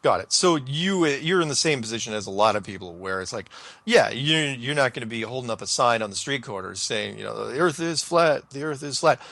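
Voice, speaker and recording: A man's voice: medium pitch, expressive, fairly quick, gravelly, hardly any pauses, a bit bright, no room sound. A man hosting a casual radio interview, talking in a joking, affirming way. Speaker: radio show host.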